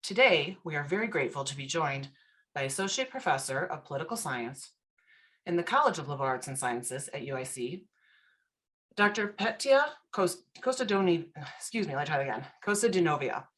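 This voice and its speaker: Low-voiced woman hosting a formal welcome. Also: animated, brisk, fairly bright tone. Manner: upbeat and formal, stumbles over a name. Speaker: host, alumni association director. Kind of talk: scripted event introduction.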